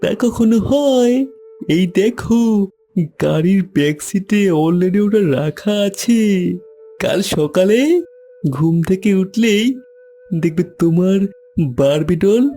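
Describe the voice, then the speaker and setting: A man's voice: high voice, expressive, slow pace, pauses often, warm tone, soft background music, exaggerated voice. An actor playing an arrogant rich businessman in a cartoon, theatrical and haughty, dropping in English phrases. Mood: doting. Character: arrogant rich businessman, contemptuous, doting father. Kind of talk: cartoon voice acting.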